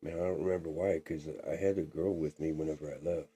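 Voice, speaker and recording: Man in his 40s, very deep and husky, drawling casual podcast co-host. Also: fairly even intonation, quick pace, mellow. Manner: drawling, says "man" a lot. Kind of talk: casual chat.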